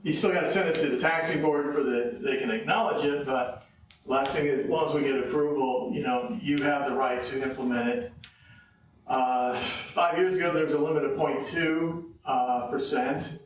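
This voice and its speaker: A man's voice: mid-range voice, fairly even intonation, a little hiss or hum. Tired man speaking at a council podium. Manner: rambling, with many filler pauses. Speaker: public commenter on tax policy. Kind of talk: explaining at a public meeting. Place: county council meeting room. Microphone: podium mic, heard from a distance. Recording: echoey room, far from the mic.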